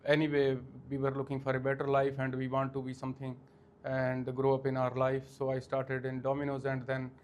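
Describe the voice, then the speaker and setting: Indian guy in his 40s, flat voice, answers slow with lots of uh's, slight hum behind. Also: medium pitch, muffled recording. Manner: halting, many fillers and restarts. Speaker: guest, franchise owner. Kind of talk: interview answers.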